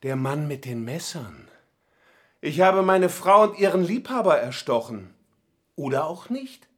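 A man's voice: fairly high pitch, very animated, unhurried. An actor in a radio drama playing a haunted, fatalistic man of forty-seven who has stabbed his wife. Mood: urgent, eerie.

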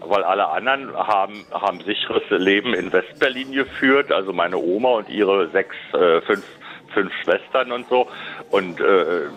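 A man's voice: low-pitched, normal speed, voice pushed down, close to an everyday voice. A man playing Frank, a grumbling West Berliner with a Berlin accent who talks in a rambling, complaining way. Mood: reminiscing. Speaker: studio guest expert. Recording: dull lo-fi radio sound.

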